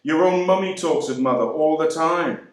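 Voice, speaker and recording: An actor playing a proud, wry young man serving in the air force, speaking with measured delivery and deliberate pauses. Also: a little high, close to an everyday voice. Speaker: actor reading a monologue. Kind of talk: dramatic monologue reading. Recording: very echoey room.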